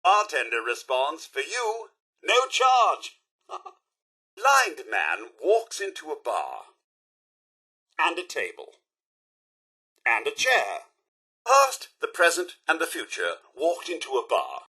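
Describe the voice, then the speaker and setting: A man's voice: fairly high pitch, wildly swooping pitch, slow, pauses often, sharp tone, husky, raised voice, exaggerated voice. A man voicing a polite, fussy robot butler for a video game, with a British accent and a posh, theatrical, formal manner. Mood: jokey. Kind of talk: video game character voice lines.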